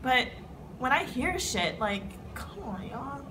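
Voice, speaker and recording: A woman's voice: high voice, big pitch swings, takes it slowly. A woman speaking candidly in an interview, heartfelt and using "like" often. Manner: heartfelt, leans on "like" as filler. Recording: noisy ambient background. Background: background noise.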